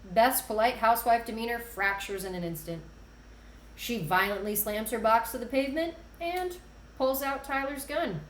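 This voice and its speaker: A woman's voice: on the high side, normal speed. A woman reading scene directions as scripted narration at a screenplay table read. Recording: roomy echo.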